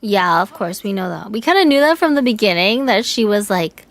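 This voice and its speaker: A young woman streaming a game, chatting casually with her chat, swearing and yelling in excitement now and then. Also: mid-range voice, brisk. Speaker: VTuber streamer. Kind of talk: casual gaming livestream chat.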